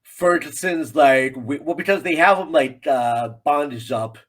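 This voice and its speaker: A man's voice: on the high side, measured pace, fairly bright tone, slight background noise. A man talking casually and in a rambling, conversational way as a guest on a film podcast.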